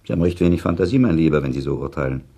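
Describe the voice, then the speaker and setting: A worldly sceptic in a literary radio drama. His extremely deep voice is gravelly and husky, and he argues with deliberate, cool irony. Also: expressive, dark tone, exaggerated voice. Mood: coolly argumentative. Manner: deliberate, argumentative, ironic. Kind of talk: German radio play, literary dialogue.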